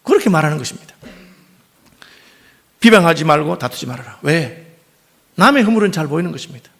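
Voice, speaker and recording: A middle-aged male pastor preaches from the pulpit with a regional dialect that never fully leaves his speech. His voice sits a little high for a man, and he talks at a rapid clip. When he retells stories, he slips into livelier, higher quoted voices before returning to his point. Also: vocal fry. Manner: speaks with a noticeable regional dialect; lively storytelling, acting out quoted people in a raised voice. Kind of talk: sermon. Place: church sanctuary.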